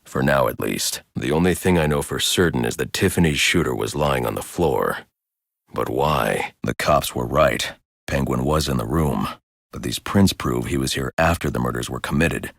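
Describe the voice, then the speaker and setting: An actor voicing a grim, brooding masked vigilante detective in a video game, speaking in a terse, stern, deadpan way. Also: male voice, extremely low pitch, normal speed, vocal fry, dry recording, studio quality, exaggerated voice. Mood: analytical, deadpan. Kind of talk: scripted video game voice lines.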